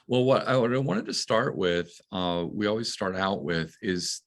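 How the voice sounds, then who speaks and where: A man's voice: on the low side, normal speed. A man hosting an interview as a museum education director, speaking with frequent hesitations and fillers. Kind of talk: hosted interview.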